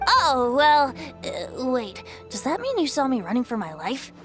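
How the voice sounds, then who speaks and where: A playful game youngster with a very high, theatrical voice. The delivery swings widely up and down and takes its time between bouncy bursts. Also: female voice, wildly swooping pitch, a little slow, over music, exaggerated voice. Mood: teasing. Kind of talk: video game voice acting.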